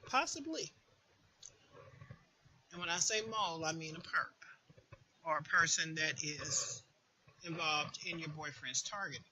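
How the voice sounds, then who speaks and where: A woman's voice: low-pitched, a little slow, long pauses, bright, forceful tone. A woman giving informal spoken advice as a host, with an emphatic manner that repeats words for stress. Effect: voice distortion late in the recording. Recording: faint background noise.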